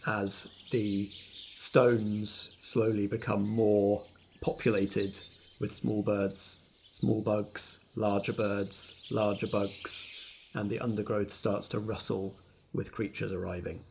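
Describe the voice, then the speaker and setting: A man's voice: fairly low pitch, steady tone, slow pace, gravelly, darkish tone, a little hiss or hum. A man running a tabletop roleplaying game, narrating scenes and prompting players with questions. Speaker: game master.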